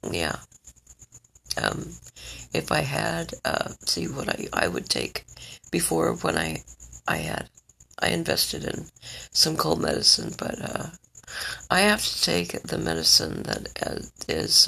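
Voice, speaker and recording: Stuffed-up vlogger, scratchy, very gravelly, bright edge, rambling. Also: mid-range voice. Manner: rambling, checks in with the listener again and again. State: stuffed up, congested. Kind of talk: rambling personal vlog.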